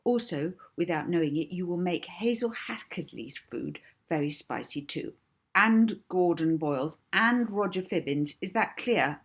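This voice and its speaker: A woman voicing a young girl hypnotist in an audiobook, speaking in a deliberate, coaxing and commanding way. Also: on the low side, animated. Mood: firm, commanding. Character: young orphan girl hypnotist, soothing, bold, bossy. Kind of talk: audiobook character voice.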